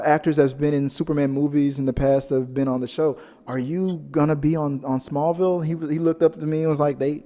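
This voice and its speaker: A man hosting a casual pop-culture show, telling stories in a rambling, animated way. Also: medium pitch. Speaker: podcast host. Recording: reverberant, echoing room sound.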